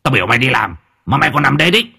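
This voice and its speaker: A man's voice: mid-range voice, big pitch swings, racing, gravelly, sharp tone, slightly rough, heavily acted. A man voicing a bullying, spiteful construction boss in an audio story, sneering and repeating his threats. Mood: sneering, angry.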